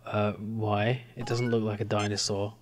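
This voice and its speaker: British man puzzling aloud, slow and hesitant. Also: on the low side, level tone, slight background noise. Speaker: gamer commentating a let's play. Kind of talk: casual game commentary.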